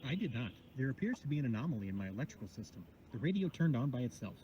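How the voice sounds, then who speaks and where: A man voicing a flat, formal truck AI. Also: mid-range voice, rapid-fire, far from the mic, lo-fi sound, a little hiss or hum, voice pushed down, close to an everyday voice. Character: calm AI navigation system of a tow truck.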